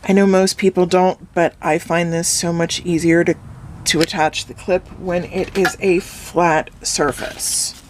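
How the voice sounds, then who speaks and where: A woman explaining a craft step by step in a calm, instructive way, her voice slightly hoarse from a cold. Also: fairly low pitch, unhurried, a little hiss or hum. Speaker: craft tutorial host. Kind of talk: step-by-step craft tutorial voice-over.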